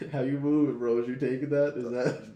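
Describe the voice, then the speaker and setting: A young American man with a fairly ordinary mid-pitched voice talks in an echoey room. He is a podcast co-host, chatting in a loose, slangy, jokey way. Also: flat delivery, fairly quick, warm tone, a little hiss or hum. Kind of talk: casual podcast chat. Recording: roomy echo.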